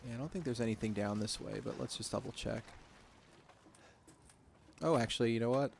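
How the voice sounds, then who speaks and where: A man in his thirties quietly talking through a game at home at night, half-whispering, relaxed and self-deprecating. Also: mid-range voice, a little fast, creaky, noisy background. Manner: hushed, half-whispered talk. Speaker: gaming streamer. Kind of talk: casual let's-play commentary.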